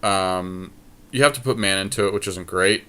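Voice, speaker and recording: A man's voice: sits low, normal speed, pushed and bright, clear, resonant tone. A man chatting casually as a podcast co-host, weighing card game choices as he thinks aloud. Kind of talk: casual chat while playing a card game draft.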